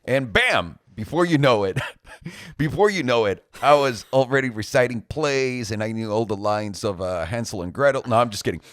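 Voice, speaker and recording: A man's voice: mid-range voice, lively intonation, moderate pace, a bit bright, no echo, studio quality. A man, co-hosting a podcast in a chatty, joking way. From Mexico. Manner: joking, talkative, laughs often. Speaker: podcast co-host. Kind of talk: casual chat.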